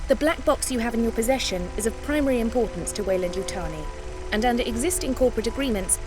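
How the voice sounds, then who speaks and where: A cool, controlling corporate executive, voiced by a woman delivers crisp, formal messages. Her voice sits somewhat high. Music runs underneath. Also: close to an everyday voice.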